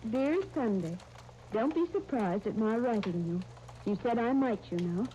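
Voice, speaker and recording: Actress as an earnest young niece, muffled old radio. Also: female voice, on the high side, lively intonation, moderate pace, warm tone, lightly acted. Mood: absorbed, excited. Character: earnest, encouraging young niece, Sunday, in wartime England. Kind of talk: 1930s radio serial acting. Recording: muffled, noisy 1939 radio transcription disc.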